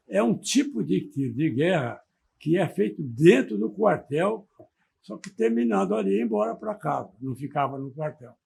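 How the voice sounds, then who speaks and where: Gravelly, husky older man, a retired colonel. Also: a little high, a little mellow. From Brazil. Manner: explains carefully, asks the interviewer questions. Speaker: retired army colonel, interviewee. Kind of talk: measured recollection.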